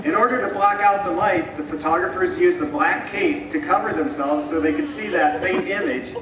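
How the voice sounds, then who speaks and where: A man giving a scripted, explanatory historical narration in a measured, didactic way, like a presenter at a live dramatization. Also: high-pitched. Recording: muffled, echoey distant recording with clear noise.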